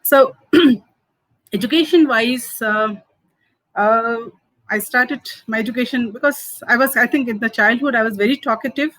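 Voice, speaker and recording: A woman in her fifties from Manipur with a Manipuri accent, English her third language, who answers interview questions slowly with many fillers and small laughs. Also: on the high side, a bit bright, no room sound, low-quality recording. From Manipur, India. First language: Hindi and Manipuri. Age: 50s. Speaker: food entrepreneur. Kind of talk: interview answers, personal story.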